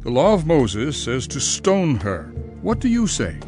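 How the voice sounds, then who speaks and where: A man's voice: a little high, huge pitch swings, measured pace, a little mellow, soft background music, pitched above the performer's own voice. A man voicing scornful, scheming male Pharisees who mock and challenge. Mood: challenging. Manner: mocking, challenging.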